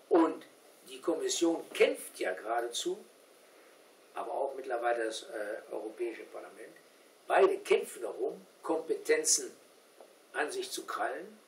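Older male lecturer, halting and deliberate explainer, picked up from a distance in an echoing room. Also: mid-range voice. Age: older, 60s or 70s. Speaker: guest lecturer. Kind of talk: discussion after a talk, explaining. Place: small indoor meeting room with seated audience. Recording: roomy, distant camera mic, background hiss.

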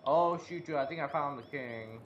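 A man's voice: a little high, normal speed, fairly bright tone, lo-fi sound. A young man chatting casually and crudely with a friend as they play a video game together. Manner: crude, profane, joking. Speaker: gamer in co-op let's play. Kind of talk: casual gaming chat with a friend.

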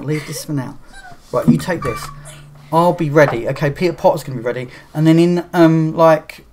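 A man's voice: fairly high pitch, darkish tone. Middle-aged man, rambling stream chat. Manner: rambling, restarts, chatty, plays acoustic guitar while talking. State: voice strained from singing. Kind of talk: casual chat. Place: dim small room indoors. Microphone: webcam framing at desk.

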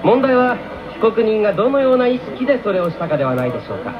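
An actor playing a pompous prosecutor with a formal, theatrical way of questioning, in a radio drama. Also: male voice, sits high, big pitch swings, quick pace. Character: pompous young male prosecutor in a dream courtroom. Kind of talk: 1990s radio drama acting. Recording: muffled AM radio broadcast, music behind.

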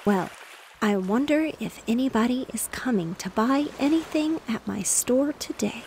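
Woman doing a little boy storekeeper, bossy and earnest, soft whispery storybook voice, slight fry. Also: a little high, animated, moderate pace, slight background noise. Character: small boy playing shopkeeper, earnest and bossy.

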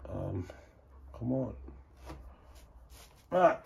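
A man's voice: medium pitch, big pitch swings, drawn-out words, vocal fry. A middle-aged man chatting casually as he cooks in his home kitchen, thinking aloud and joking. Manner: chatty, thinks aloud, jokes and asks himself questions. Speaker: vlogger cooking at home. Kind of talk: casual chatty cooking narration. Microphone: camera over his shoulder, moving around the counter. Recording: faint background noise, frying sounds.

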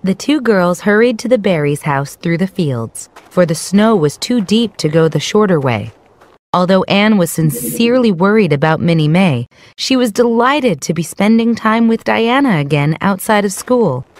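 A woman giving scripted narration for a children's story in a clear storytelling delivery. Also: mid-range voice, lively intonation, normal speed, a little mellow, dry recording.

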